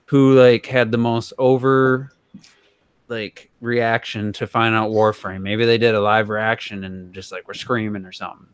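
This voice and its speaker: A man's voice: on the low side, little pitch movement, measured pace, smooth, no room sound. Man in a dry-sounding casual podcast discussion. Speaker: podcast co-host.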